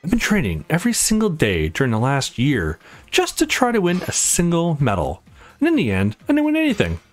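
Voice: mid-range voice, huge pitch swings, fairly quick, faint music behind. A let's player voicing a sulky, self-pitying young athletic woman from a visual novel, blunt and bitter. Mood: dejected.

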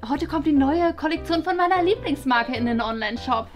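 Vain teen diva played by a woman, very high, whiny, impatient, comedy sketch with clear music behind. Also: animated, a little fast. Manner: whiny, affected, girlish. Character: vain, impatient, whiny fashion-obsessed schoolgirl. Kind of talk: scripted comedy sketch acting.

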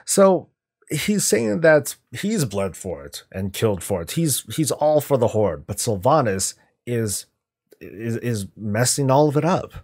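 A man in his thirties hosting a reaction video, giving off-the-cuff analysis in a deliberate, emphatic way. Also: mid-range voice, sing-song, darkish tone, breathy edge.

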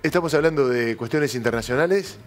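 A man's voice: medium pitch, a little fast, soft background music. A middle-aged man with an Argentine accent, hosting a political talk show in a TV studio and telling stories emphatically. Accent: Argentine Spanish. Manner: emphatic storytelling, addresses co-host by name.

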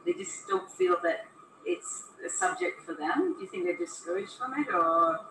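A woman's voice: mid-range voice, sing-song, normal speed, fairly bright tone. A middle-aged woman chatting outdoors, asking a girl probing questions. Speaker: interviewer. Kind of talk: casual chat. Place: balcony outdoors. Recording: echoey, distant, noisy phone recording.

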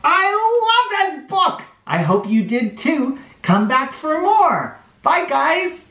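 A middle-aged man hosting a children's read-aloud, reading a storybook expressively with drawn-out phrasing. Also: very high-pitched, big pitch swings, sharp tone. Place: small bright living room or playroom, indoors. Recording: roomy indoor echo.

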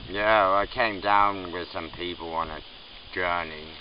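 A man's voice: deep voice, steady tone, a little slow. A middle-aged man who grew up in Scotland, telling his story in a rambling, self-correcting way, sitting outdoors. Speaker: interviewee. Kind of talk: casual storytelling. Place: outdoors under trees in a camp. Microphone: far camera. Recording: muffled distant outdoor recording with noise.

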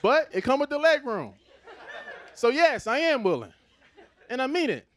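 A man from Los Angeles in his thirties with an American accent, performing a stand-up comedy set on a theater stage into a handheld mic, animated and conversational. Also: very high voice, normal speed, noisy background. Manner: animated, conversational, switches into put-on Nigerian voices for his parents.

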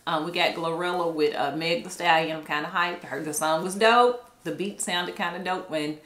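A middle-aged woman co-hosting a music reaction with her son, easygoing and quick to agree. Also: lowish voice, fairly bright tone. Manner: easygoing, brief agreeing replies. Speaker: co-host, the host's mother. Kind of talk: casual chat. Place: living room at home. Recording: echoey room.

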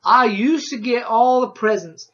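A man voicing a cheerful old backwoods woodsman with loud, drawling hillbilly speech, higher than his own voice. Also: unhurried. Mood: boastful. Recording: dull lo-fi audio.

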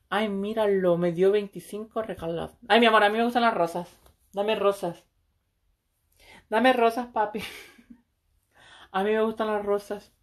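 A woman's voice: medium pitch, lively intonation, takes it slowly, pauses often. Brash flirty woman streaming. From El Salvador. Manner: brash, flirty, swears freely. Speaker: livestream host. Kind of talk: casual livestream chat. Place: small room indoors, seated on a couch. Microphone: phone held close.

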